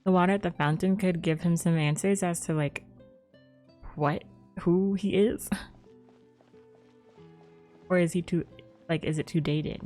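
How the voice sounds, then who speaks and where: A woman's voice: on the low side, fairly even intonation, measured pace, pauses often, mellow. A young woman giving casual live commentary as a game streamer, musing in an informal, rambling way. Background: faint game music.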